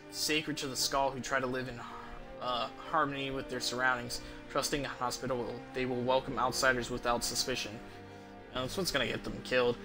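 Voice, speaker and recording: A man giving casual, rambling gameplay commentary as a game streamer, with some swearing. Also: medium pitch.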